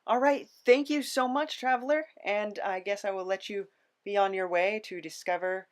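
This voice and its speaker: A woman's voice: medium pitch, fairly even intonation, moderate pace. A woman hosting a podcast, talking casually as an interviewer. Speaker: podcast host.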